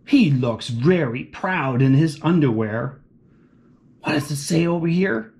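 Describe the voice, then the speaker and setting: A man's voice: on the high side, measured pace, vocal fry. A man reading a children's book aloud, asking the young listeners questions along the way. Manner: animated, asks children questions. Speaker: children's story reader.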